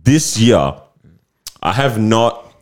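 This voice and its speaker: A man's voice: lowish voice, big pitch swings, extremely slow. A young man hosting a casual podcast chat, laid-back and slangy, often saying bruv and fam. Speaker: podcast host.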